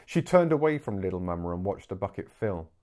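A man in his forties reading a story aloud as an expressive audiobook narrator. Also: low-pitched, fairly quick. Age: 40s. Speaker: book narrator. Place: small living room, indoors.

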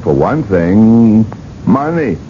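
An actor playing a blunt, candid physician in a radio drama, speaking in a measured, dry way. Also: male voice, on the low side, sing-song. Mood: frank, measured. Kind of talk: 1980s radio drama acting. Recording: muffled, hissy vintage radio broadcast.

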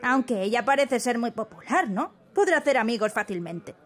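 A woman with an accent from Spain voicing a bubbly, teasing high school student in an anime fan dub, breezy and slangy. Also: expressive, a little fast. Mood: nonchalant. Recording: music bed under voice.

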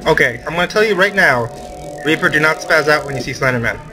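A man's voice: a little high, animated, fast. Wry young man hosting a game session. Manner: wry, bossy, teasing. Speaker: let's play host. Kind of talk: casual group gameplay chat. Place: room with friends at a computer. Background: game music.

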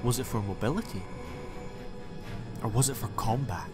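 A man's voice: mid-range voice, moderate pace. Man narrating an audiobook over music. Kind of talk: scripted story narration.

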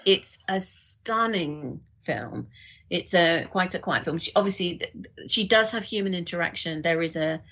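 A woman's voice: fairly low pitch, expressive, moderate pace, fairly bright tone. A woman guest on a podcast, talking thoughtfully in a conversational way.

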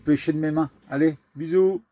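A man's voice: on the high side, fairly even intonation, normal speed, dull audio. A man in casual, teasing banter while cooking on a barbecue, mostly off camera. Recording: music playing underneath.

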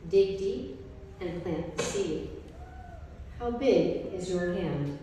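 A middle-aged woman reading a group poem aloud from paper pages at a gallery event, in a slow, measured recitation. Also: lowish voice, animated, mellow, recorded from a distance, slight background noise. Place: art gallery, indoors, mid-sized room. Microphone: handheld mic, filmed from a distance. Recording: echoey gallery room, from afar.

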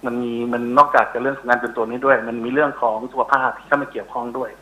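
Middle aged guy expert on a phone interview, sharp bright voice, explaining stuff, bit hesitant. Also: medium pitch, steady tone, very fast, poor audio. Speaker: interview guest, university researcher. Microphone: telephone call.

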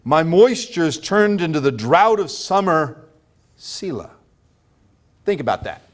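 A middle-aged American pastor delivers a sermon in a high-pitched voice. He is emphatic, leaning on repetition and rhetorical questions to drive each point home. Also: big pitch swings, a little slow. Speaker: pastor preaching. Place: church sanctuary, at a pulpit before a congregation.

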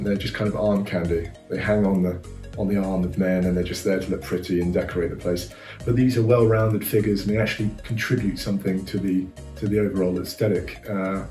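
A man's voice: on the low side, dark tone. A middle-aged man from the UK sharing opinions on a show casually, with many fillers and restarts, recorded on a sofa in a living room by a far camera. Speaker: viewer giving opinions. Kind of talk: casual reaction review. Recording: roomy echo, faint music.

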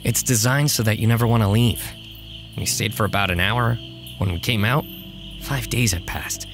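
A man's voice: fairly low pitch, normal speed, over music, lightly acted. A man playing a casual, inquisitive teenage boy hero in an audio drama. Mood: intent, concerned. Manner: casual, questioning.

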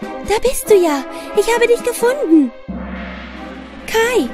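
Woman as brave young storybook girl, very high pitch, earnest, emotional, slow, light music. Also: very animated, heavily acted. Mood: joyful, pleading.

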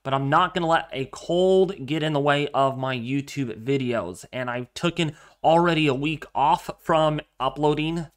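A man's voice: a little high, moderate pace, hardly any pauses. A young man getting over a cold, sounding congested and a little nasal, earnestly giving a casual weather update from his home office. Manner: earnest, repeats himself, many fillers. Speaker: weather forecaster. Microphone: webcam framing at desk.

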